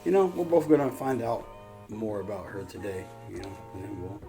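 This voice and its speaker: Male reaction host, low register, informal and profane commentary, music playing underneath. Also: big pitch swings, a little fast.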